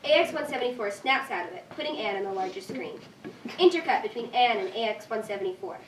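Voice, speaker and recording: A woman with a fairly high voice reads stage directions as scripted narration. The room is echoey and she sounds some distance from the mic, with faint noise behind. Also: animated, fast talker.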